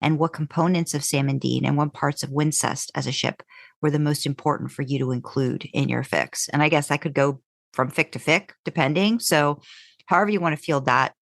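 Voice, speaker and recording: A woman co-hosting a podcast interview, relaxed and affirming, with many "mm-hmm"s. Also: sits low, a little fast, creaky, no echo, clean studio sound. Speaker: podcast co-host.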